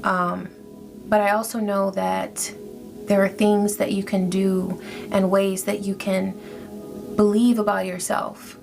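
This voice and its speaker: Female tarot reader, gravelly mid-range voice, reassuring check-ins, music underneath. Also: medium pitch, level tone, slow. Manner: reassuring, repeats "okay?" often. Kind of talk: casual spiritual tarot reading.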